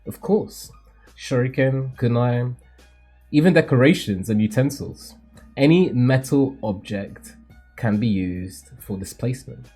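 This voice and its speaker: A man voicing a solemn, cryptic mentor who sees the future, in a theatrical, measured, formal manga read-aloud. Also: mid-range voice, mellow, slightly rough, faint music behind. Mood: solemn, instructive.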